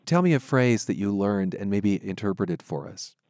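A man's voice: on the low side, expressive, moderate pace, a little mellow, no room sound, clean studio sound. A man with an American accent hosting a radio program, moving between scripted narration and interview questions.

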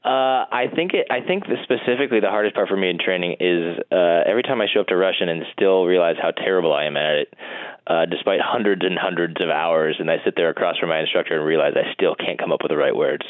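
An American man with a deep voice and quick delivery, chatting as an interview guest in a casual, self-deprecating way. He comes through a thin, phone-like connection. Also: no echo. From Colorado, United States. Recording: thin band-limited remote line.

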